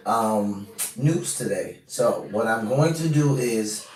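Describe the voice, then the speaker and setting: A man's voice: lowish voice, expressive, takes it slowly, echoey room, distant mic. A man hosting a casual news reaction live stream, talking straight to his chat, his voice a little scratchy. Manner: chatty, talks straight to chat. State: slightly scratchy voice.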